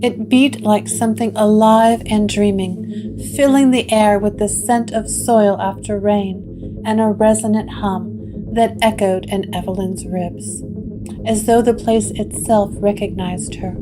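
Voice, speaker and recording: A woman narrating a gothic horror story with measured, atmospheric delivery. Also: medium pitch, steady tone, rough recording. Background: guitar music.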